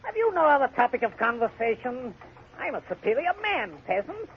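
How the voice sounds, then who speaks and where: Very high-voiced pompous gravedigger. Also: male voice, very animated, a little fast. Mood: irritated, boastful. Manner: haughty, clipped, declamatory. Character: pompous, self-important Parisian male gravedigger and scribe. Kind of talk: golden age radio drama acting. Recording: muffled, noisy old radio broadcast.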